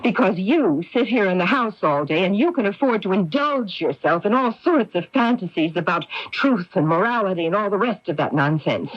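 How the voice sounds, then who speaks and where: An actress playing a worldly, evasive, sharp-tongued middle-aged newspaperwoman, with theatrical emphasis, in a 1980s radio mystery. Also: mid-range voice, expressive, moderate pace, few pauses, dry recording, natural voice. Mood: scornful, bitter. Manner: theatrical, emphatic, repeats words. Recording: dull, dry lo-fi radio broadcast.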